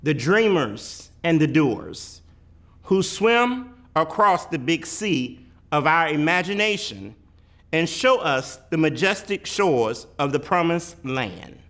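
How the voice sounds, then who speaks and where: A man giving a dramatic read-aloud of a poetic picture book, with deliberate, emphatic phrasing and weighty pauses. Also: fairly high pitch, very animated, a bit bright. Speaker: reader of a children's picture book.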